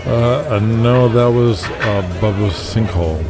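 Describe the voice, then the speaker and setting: A man's voice: on the low side, takes it slowly, crowd noise. A man playing a character from a 1980s pro-wrestling world in an audio drama. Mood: nervous. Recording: music behind the voice.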